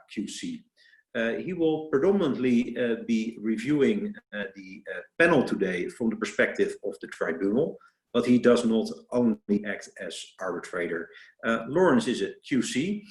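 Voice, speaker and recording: A man's voice: medium pitch, expressive, moderate pace. A man with a Dutch accent moderating a webinar panel in a semi-formal way, hesitant and speaking in short broken phrases. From Netherlands. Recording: roomy, echoing webinar audio.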